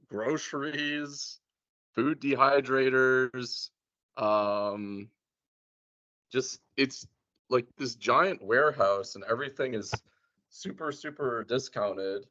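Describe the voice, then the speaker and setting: A man in casual conversation, talking in a hesitant, halting way and often repeating words. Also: medium pitch, a bit bright. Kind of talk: casual chat. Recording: muffled, dull lo-fi sound.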